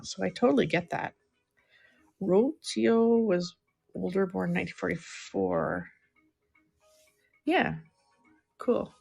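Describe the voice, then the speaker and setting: A middle-aged woman chatting softly and unhurriedly with viewers while she draws on a livestream. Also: lowish voice, gravelly, slightly rough. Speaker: live-streaming artist. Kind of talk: casual livestream chat while drawing.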